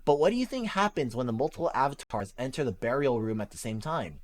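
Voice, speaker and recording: A reader voicing an easygoing young male gamer who talks in a relaxed, casual way. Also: medium pitch, quick pace, lightly acted.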